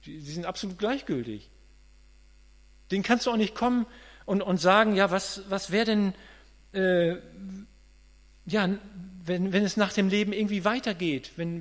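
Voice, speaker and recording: Fifties guy preaching, earnest, stumbles and repeats words, echoey hall with a hum behind. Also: high-pitched. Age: 50s. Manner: earnest, conversational, repeats words as he thinks aloud. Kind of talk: sermon. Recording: reverberant hall with mains hum.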